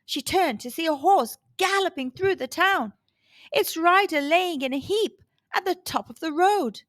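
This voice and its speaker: A woman reads a children's storybook in a high, very animated voice. She takes her time and leans on key words dramatically, with a close, dry sound. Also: no room sound. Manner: dramatic, deliberate emphasis. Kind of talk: scripted storybook narration for kids.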